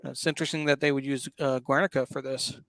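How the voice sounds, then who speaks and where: A man hosting a casual live talk show, explaining what he is showing in a halting, explanatory way. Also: mid-range voice, steady tone, fairly bright tone, no echo. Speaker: show host. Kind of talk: casual live talk walking through a newspaper.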